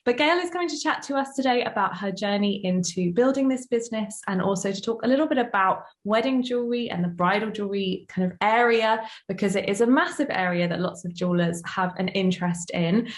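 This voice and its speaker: Chatty woman in an echoey room. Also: medium pitch. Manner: chatty, upbeat, enthusiastic. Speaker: podcast host. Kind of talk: podcast interview hosting.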